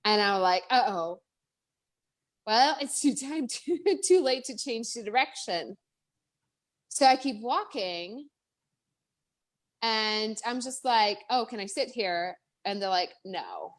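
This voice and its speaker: A woman with a Czech accent, a guest speaker in a live-streamed interview, talking in a chatty, animated way with many restarts and fillers. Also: on the high side, takes it slowly, long pauses. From Czech Republic.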